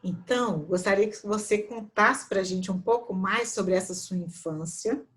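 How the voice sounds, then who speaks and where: A woman hosting a conversation, asking long, measured questions and praising her guest. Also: medium pitch. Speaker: host. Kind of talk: interview questions.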